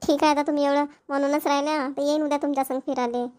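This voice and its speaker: A woman's voice: high-pitched, little pitch movement, rapid-fire, a little mellow, close to an everyday voice. A woman playing a chatty, agreeable village wife and mother in a cartoon, with a rural Maharashtrian accent. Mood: teasing, agreeable. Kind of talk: cartoon family dialogue.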